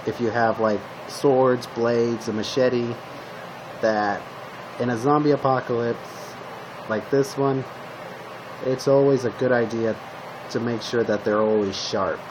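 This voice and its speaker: Halting man in a noisy amateur recording. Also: mid-range voice, steady tone. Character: calm male zombie apocalypse survivor giving weapon tips. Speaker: roleplay video creator playing a zombie survivor. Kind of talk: casual improvised roleplay monologue to the listener.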